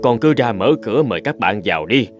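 A man voicing a gentle, reassuring father in a dubbed cartoon. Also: fairly low pitch, expressive, fast talker, over loud music, close to an everyday voice. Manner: warm, kindly, reassuring. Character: gentle, hardworking young father. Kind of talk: cartoon dubbing.

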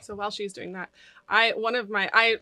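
A woman's voice: medium pitch, steady tone, a little fast, bright, forceful tone. A young woman co-hosting a casual chat in a studio lounge, friendly and self-deprecating, speaking into a desk mic. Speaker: co-host. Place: studio lounge set, indoors. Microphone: desk mic on stand.

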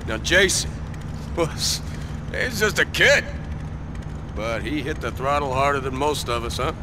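An actor playing a swaggering, sarcastic, hot-tempered biker gang leader in a video game cutscene. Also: male voice, mid-range voice, lively intonation, unhurried, background noise, lightly acted. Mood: boastful tribute. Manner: cocky, sarcastic, profane.

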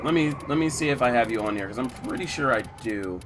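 A man streaming a video game who chats casually with viewers in a relaxed, rambling way, his voice getting weak. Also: mid-range voice, fast talker, background noise. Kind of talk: casual live-stream chat, reading viewer chat.

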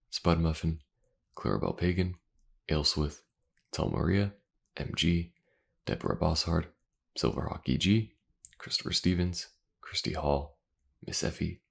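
Deep-voiced man with a crackly fry. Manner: relaxed, informal, loosely phrased. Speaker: voice actor and channel creator. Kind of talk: casual outro addressed to listeners.

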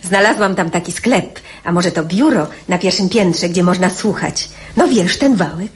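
Actress as a brisk, affectionate young mother. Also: lowish voice, lively intonation, natural voice. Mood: eager coaxing. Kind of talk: radio drama acting.

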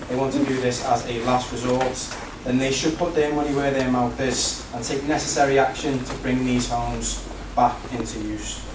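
A man serving as councillor, making a formal prepared debate speech in a large council chamber. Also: mid-range voice. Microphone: recorded from the back of the room. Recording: distant, echoey, noisy council chamber recording.

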